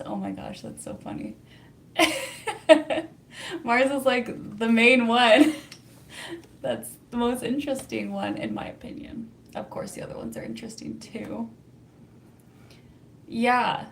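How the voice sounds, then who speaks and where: A woman's voice: a little high, a little hiss or hum. A young Canadian woman with a Canadian accent, hosting a casual livestream, chatty and laughing often as she answers viewer comments. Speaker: livestream host reading chat.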